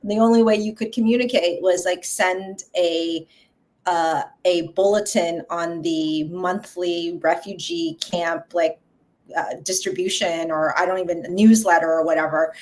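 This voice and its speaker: Soft-spoken woman, halting and thoughtful. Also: on the low side, fairly even intonation. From Vietnam. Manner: halting, many fillers and restarts. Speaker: guest, coffee company founder. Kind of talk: casual interview conversation.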